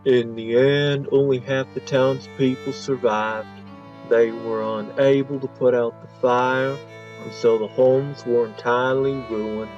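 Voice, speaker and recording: A man's voice: medium pitch, flat delivery, a little slow, lo-fi sound, lightly acted. A man narrating a parody game story in a dramatic, scripted storytelling style. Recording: loud music under the voice.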